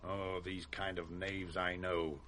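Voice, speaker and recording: An actor playing an imperious, short-tempered nobleman in 1960s British radio Shakespeare, commanding and contemptuous, with a rough edge. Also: low-pitched, flat delivery, slow, sharp tone, heavily acted.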